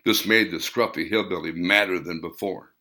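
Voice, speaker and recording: A man reading his own novel aloud as an audiobook narrator, in a measured delivery that slows on key phrases. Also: low-pitched, pushed and bright.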